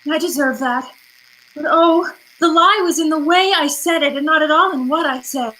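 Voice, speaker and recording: A high-voiced femme fatale, acted by a woman from an old-time radio mystery. She is fluttery and hesitant, pleading with melodramatic helplessness that hides a manipulative streak. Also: moderate pace, bright, forceful tone, low-quality recording.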